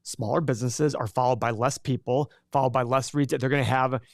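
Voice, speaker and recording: A man's voice: mid-range voice, steady tone, brisk, creaky, dry recording, studio quality. A man born in Iraq co-hosting a stock market livestream, talking casually and rambling, repeating words and acting out his own thoughts. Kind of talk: casual investing talk on a livestream.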